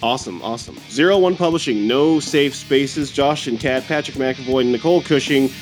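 A man's voice: mid-range voice, normal speed, soft background music. A man hosting a podcast, wrapping up the episode and thanking his guests in an upbeat way. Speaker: podcast host. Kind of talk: podcast wrap-up.

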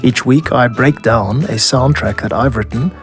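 A man with a South African accent hosting a podcast, explaining in a measured, scripted style. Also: mid-range voice, faint music behind. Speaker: podcast host, music composer and producer.

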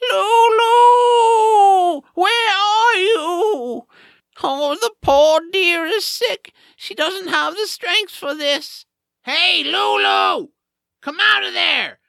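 A man playing a grumpy, nap-loving guide in an audio drama, gravelly, wry and folksy as he grumbles along. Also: extremely high pitch, slow, sharp tone, no echo, studio quality, exaggerated voice. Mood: calling out, exasperated.

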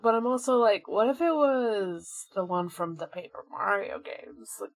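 A woman's voice: medium pitch, lively intonation, normal speed, no room sound. A woman co-hosting a podcast, chatting casually in a rambling way full of filler "like"s. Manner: rambling, peppered with "like". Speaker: podcast co-host. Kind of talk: casual fan chat.

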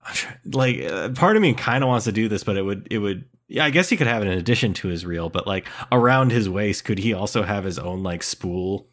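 A man's voice: lowish voice, animated, fairly quick, few pauses, a bit bright. A thirty-year-old man co-hosting a casual chat, easygoing and joking as he builds on his friend's ideas.

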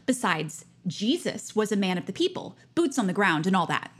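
A woman playing a zealous, nosy high school senior in an audio drama, pushy and earnest in a self-righteous way. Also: very animated, normal speed. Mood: scheming, self-righteous.

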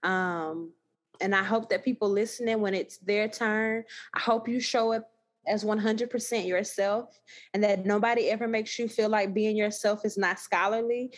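A woman with a thick Texas accent, talking as a guest in a casual podcast conversation, animated and emphatic with frequent laughter. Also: medium pitch, moderate pace. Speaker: guest, new scholar.

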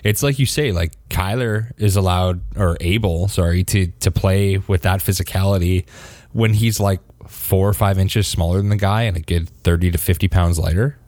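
A man's voice: low voice, normal speed, darkish tone, no echo. A man co-hosting a casual sports podcast, easygoing and agreeable, picking up steam as he gives his opinion. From Canada. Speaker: podcast co-host.

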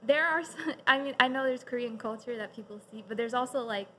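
A woman in casual conversation, a touch higher-pitched than most, hedging with many fillers and small laughs. Faint background noise sits under her voice. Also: a little fast, a bit bright, poor audio. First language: English. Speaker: guest.

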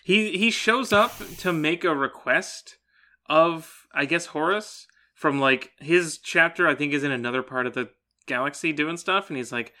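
A man with a bright, forward voice pitched a little high co-hosts a podcast. He talks about a book casually, with a jokey, conversational touch. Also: moderate pace. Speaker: podcast co-host.

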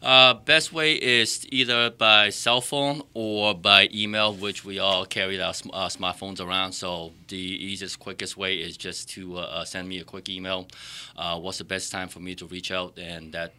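A man's voice: deep voice, flat delivery, normal speed, sharp tone, clear, resonant tone. A man from the Boston area, a mortgage loan officer answering interview questions, hesitant and full of fillers and restarts. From Boston area, United States.

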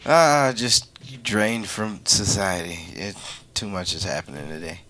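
A man's voice: low-pitched, animated, measured pace, bright, forceful tone. A man chatting casually as a podcast guest, laid-back and rambling, with easy swearing. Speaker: podcast guest, stand-up comedian. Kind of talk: casual chat.

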